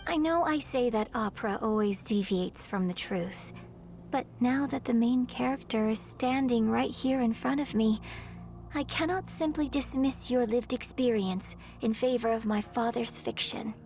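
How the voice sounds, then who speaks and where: Courteous young opera artist, slightly high voice; woman cast. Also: normal speed, a little mellow, a little hiss or hum, natural voice. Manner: courteous, earnest, reassuring. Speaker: opera writer and performer. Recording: game dialogue with music behind.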